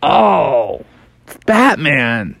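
A man's voice: big pitch swings, extremely slow, gravelly, a bit bright, no room sound, heavily acted. A man voicing an eager, whiny young frog character in a bedtime story. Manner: high, whining, eager. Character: excitable young frog buddy.

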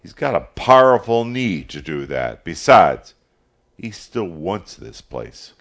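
A man's voice: deep voice, very animated, takes it slowly, fairly bright tone, full character voice. A man voicing a proud, determined farmer father in an audiobook, curt and firm. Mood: grim.

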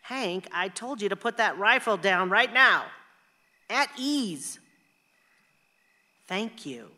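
An actress playing a bossy but hospitable wife in a radio play, plainspoken and quick to scold. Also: female voice, medium pitch, animated, bright, forceful tone, big-room sound.

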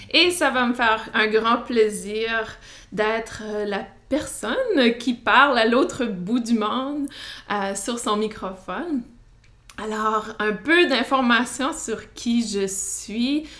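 A woman with an Acadian French accent, hosting her own podcast as a psychotherapist, speaking in a relaxed monologue with frequent hesitations and light laughs. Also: fairly high pitch, slow. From New Brunswick, Canada. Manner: hesitant, many "euh" fillers, light laughs.

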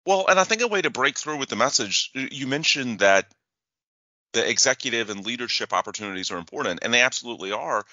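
Male host, mid-pitched, fluent, talks in long explanatory runs, dry studio sound. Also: lively intonation, pushed and bright, no echo, muffled recording. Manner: fluent, brisk explanatory talk. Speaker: interview host. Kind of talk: conversational interview.

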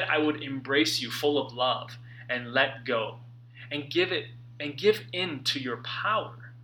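Male self-help author-narrator: lively swings in pitch, sharp intense tone, emphatic dramatic pauses. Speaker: author reading own book.

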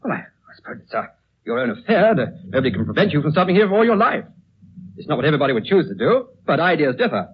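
An impatient, priggish young gentleman in an old radio drama. He talks fast and formally in a high-strung, swooping way, over a dull, noisy recording. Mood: put out. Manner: excitable, clipped, formal. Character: impatient, indignant, priggish young male traveller. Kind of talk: 1930s radio drama acting.

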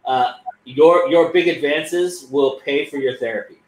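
A man's voice: on the high side, measured pace, a little hiss or hum. A man joking and teasing on a podcast. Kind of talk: casual podcast chat. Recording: roomy echo.

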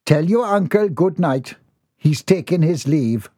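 A man voicing a brisk, exasperated housekeeper who fusses and bosses others about. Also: fairly high pitch, lively intonation, dark tone, no echo, studio quality, voice pushed up. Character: woman, brisk fussing housekeeper.

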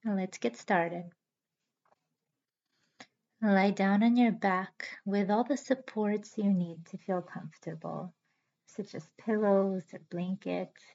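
A woman guiding a yoga nidra relaxation as host, with a soothing, measured manner and deliberate pauses. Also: mid-range voice, fairly even intonation, creaky, a little mellow. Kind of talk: guided relaxation meditation. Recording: slightly dull audio with background music. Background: music.

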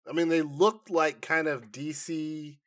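A man's voice: a little high, fairly even intonation, a little slow, a bit bright. A man chatting casually and giving opinions as a podcast co-host, with slangy, conversational phrasing. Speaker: podcast co-host reviewing nerd culture. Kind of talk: casual review chat.

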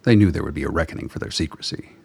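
A man with a husky voice reading scripted narration for an audio drama as its narrator. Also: rumbling low, rapid-fire.

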